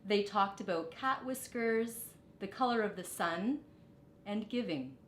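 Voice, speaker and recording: A middle-aged woman reading a storybook aloud like a teacher, deliberate and expressive, with short explanatory asides. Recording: roomy echo, faint rumbling background noise.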